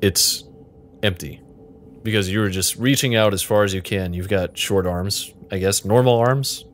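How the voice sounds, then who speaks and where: Low-voiced male game master, noisy recording. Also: moderate pace. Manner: playful, joking. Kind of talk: improvised interactive fiction narration and banter.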